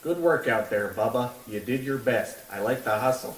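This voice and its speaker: Bullying ball coach, male actor: scolding, mid-pitched, comedy radio play, off-mic in an echoey room. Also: expressive, normal speed, natural voice. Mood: stern, scolding. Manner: stern, scolding. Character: gruff, bullying small-town baseball coach. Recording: distant, echoey room with faint noise.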